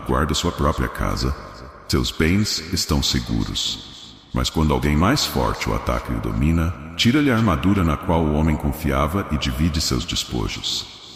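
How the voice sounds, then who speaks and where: A man's voice: extremely low pitch, big pitch swings, moderate pace, creaky. A man with a Brazilian accent narrates a scripted religious story in a solemn, dramatic storytelling manner. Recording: echoey voice with long reverb.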